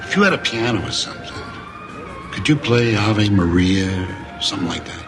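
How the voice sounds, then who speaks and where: An actor playing a chatty, easygoing, streetwise convict in a naturalistic television drama. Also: male voice, on the low side, expressive, normal speed, over music, natural voice. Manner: informal, streetwise.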